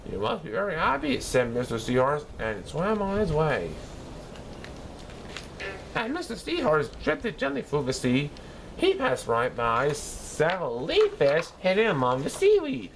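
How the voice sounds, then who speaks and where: Childlike cartoon sponge, wildly swooping pitch with a creaky edge, eager storybook reader. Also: male voice, huge pitch swings, measured pace, background noise, heavily acted. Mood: bubbly storytelling. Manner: eager, exclamatory, stumbling over words. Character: excitable, childlike yellow cartoon sea sponge reading a storybook. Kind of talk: children's storybook read-aloud in character.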